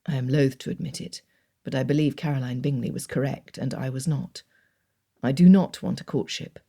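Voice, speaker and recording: Earnest young gentleman suitor, voiced by a woman, hushed, low, mellow, audiobook. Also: steady tone, moderate pace, vocal fry, heavily acted.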